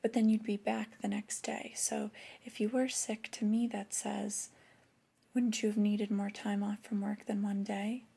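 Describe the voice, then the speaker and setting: A woman playing a flirty, disappointed store boss in a soft-spoken ASMR roleplay, breathy and often whispering, with a slow, intimate scolding manner. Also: mid-range voice, little pitch movement. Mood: reproachful, skeptical. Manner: slow, deliberate, intimate reprimanding.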